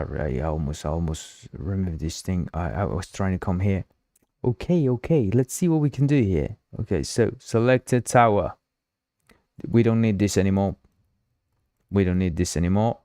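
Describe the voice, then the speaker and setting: A man's voice: low voice, dark tone, no echo, clean studio sound. A man with a Uruguayan Spanish accent, a native Spanish speaker, hosting a casual live coding stream, rambling and repeating himself as he reads the chat aloud. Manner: drinking while streaming. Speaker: livestream host.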